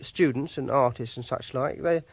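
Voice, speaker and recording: Husky, awkward English clerk confiding obsessions. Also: male voice, mid-range voice, dry recording. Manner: hesitant, halting, confiding. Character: obsessive, socially awkward lonely English clerk, self-pitying. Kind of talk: first-person radio drama monologue.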